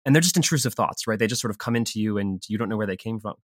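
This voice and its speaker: A man's voice: lowish voice, very fast, no room sound, clean studio sound. A man speaking as a creative guest in an interview, explaining things casually.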